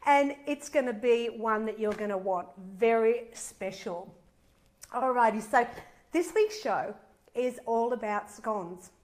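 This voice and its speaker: A bubbly, middle-aged woman hosts a live cooking show from her home kitchen. Her voice sits a little high and sounds slightly nasal from a head cold. She chats with enthusiasm as she walks viewers through each step. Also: slow pace, a little mellow. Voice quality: a bit nasal. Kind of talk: live cooking demonstration, chatty.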